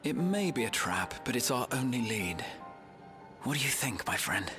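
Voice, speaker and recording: A man's voice: mid-range voice, very animated, normal speed, over music, close to an everyday voice. Game role: actor as serious, resolute male ally, medium register, strong musical backing. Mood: grave determination. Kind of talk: dramatic video game voice acting.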